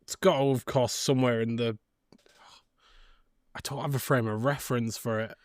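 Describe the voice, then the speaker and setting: A man co-hosting a podcast, talking casually about film and often pausing to search for his words. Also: medium pitch, steady tone, no room sound, clean studio sound. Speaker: podcast host.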